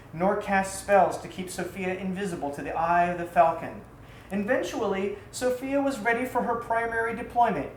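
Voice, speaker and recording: A woman narrates an exotic story with expressive, theatrical delivery. Her voice sits at a middle pitch. The room adds clear echo, and there is faint noise behind her. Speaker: storyteller reading aloud. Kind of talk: scripted narration of an exotic tale.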